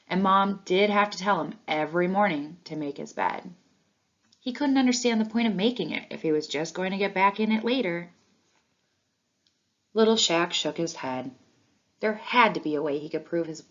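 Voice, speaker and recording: Female storybook reader, slightly low voice, expressive read-aloud for children, dull recording.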